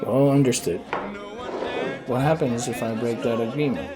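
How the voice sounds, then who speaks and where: A man plays a laid-back rural civilian in an audio drama. His pitch is middling and his delivery agreeable. Music and a crowd are clearly heard behind him. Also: moderate pace, lightly acted.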